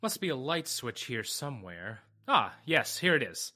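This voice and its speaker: A man playing a brisk, level-headed and skeptical attorney in an old-time radio drama. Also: medium pitch, big pitch swings, noisy background, close to an everyday voice. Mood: matter-of-fact.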